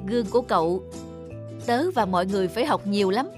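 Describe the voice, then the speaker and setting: A woman's voice: medium pitch, lively intonation, quick pace, over music, close to an everyday voice. A woman playing a jovial, effusive young man, an old friend, in a cartoon. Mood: admiring. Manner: effusive, chummy. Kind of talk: cartoon dub acting.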